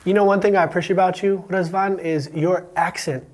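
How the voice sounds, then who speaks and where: A man's voice: high voice, steady tone, fairly quick. A man with an American accent hosts a school podcast, asking quiz questions in an upbeat, encouraging way. He slips in small jokes as he goes. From Romania. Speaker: podcast host. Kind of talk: casual interview with a student.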